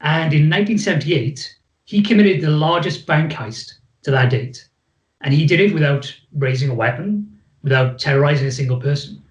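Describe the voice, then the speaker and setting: A man with a Welsh accent, a guest on a video call, talking in a relaxed and jokey way. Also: on the high side, moderate pace, roomy sound, highs cut off. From Wales. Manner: easygoing, jokey. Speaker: guest psychologist. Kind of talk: relaxed conversation and presentation.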